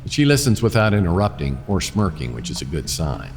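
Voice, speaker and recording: An extremely deep American male voice plays a weary private eye in a noir radio drama. He is deadpan and sardonic, with faint music behind him. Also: fairly quick. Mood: wry narration. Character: wry, world-weary male private detective. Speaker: narrator and lead actor. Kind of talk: noir radio drama, first-person narration and dialogue.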